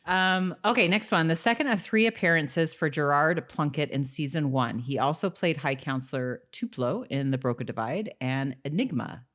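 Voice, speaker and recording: A woman's voice: deep voice, normal speed, few pauses, a bit bright. A woman co-hosting a podcast, chatting casually and reading out bits of trivia. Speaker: podcast co-host.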